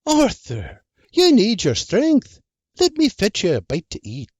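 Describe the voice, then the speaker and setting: A narrator playing a fussing, bossy farm wife. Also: female voice, mid-range voice, wildly swooping pitch, a little slow, breathy edge, no room sound, voice pushed up, full character voice. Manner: scolding, fussing, sharp-tongued.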